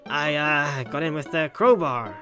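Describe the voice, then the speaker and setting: A woman's voice: sits low, measured pace, pitched below the performer's own voice. A woman voicing a nervous, awkward young man who jokes hesitantly in a visual novel. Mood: joking. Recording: loud music behind the voice.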